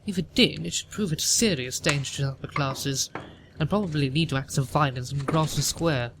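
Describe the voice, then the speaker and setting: A man's voice: fairly high pitch, fairly quick, background noise, close to an everyday voice. A man playing a haughty, pompous older upper-class mother in a theatrical audio drama, questioning and disapproving. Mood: imperious, interrogating.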